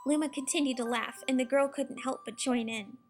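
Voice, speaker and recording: A woman narrates a children's storybook in a high-pitched voice. She reads from the text as a bedtime-tale narrator, with music playing behind her. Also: steady tone, brisk, darkish tone.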